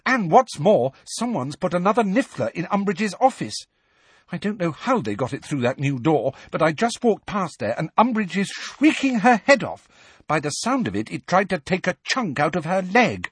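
A man voicing a clever, bossy teenage witch who talks in a sharp, clipped, short-tempered way. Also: high voice, very animated, moderate pace, breathy edge, no room sound, voice pushed up, full character voice. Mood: irritated.

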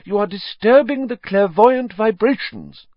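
A man putting on a dreamy, hushed female seer. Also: sits high, expressive, no echo, pitched above the performer's own voice. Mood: mystical. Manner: hushed, mystical, drawn-out. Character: airy, dreamy female seer, an old teacher. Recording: dry, slightly dull lo-fi.

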